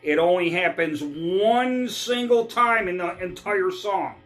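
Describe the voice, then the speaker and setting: A middle-aged man teaches guitar in a fairly high, unhurried voice. He talks casually, checking in often with "okay?" and "all right?". Faint guitar notes fill the gaps between his phrases. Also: a bit bright.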